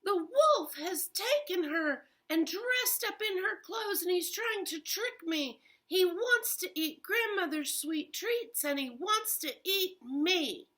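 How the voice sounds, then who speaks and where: A woman voicing a timid young girl in a storybook tale, wide-eyed, slow and breathy. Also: extremely high pitch, animated, fairly bright tone, pitched above the performer's own voice, full character voice. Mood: frightened.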